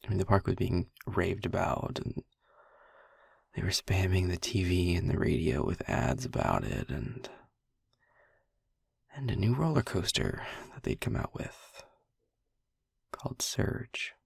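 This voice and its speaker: Audio roleplay vampire boyfriend, male actor: low, very breathy husky voice, tender, halting confession on a close dry mic. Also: expressive, no echo, full character voice. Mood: wistful, reminiscing. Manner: hesitant, halting, soft-spoken, confiding. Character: gentle young-looking male vampire boyfriend confiding painful past. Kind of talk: intimate audio roleplay monologue.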